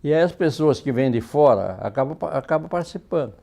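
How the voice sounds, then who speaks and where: A man's voice: on the high side, animated, brisk, vocal fry, mellow. A man chatting casually in a documentary interview. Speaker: local interviewee.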